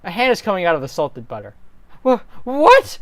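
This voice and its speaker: Man, narrator, dramatic script reading, very animated intonation, dry recording. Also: fairly high pitch, rapid-fire, no room sound.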